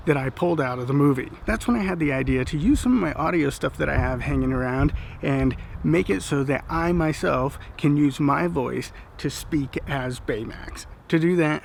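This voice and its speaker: Higher-voiced man, casual how-to vlogger. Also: normal speed, vocal fry, hardly any pauses, slight background noise. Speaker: costume vlogger.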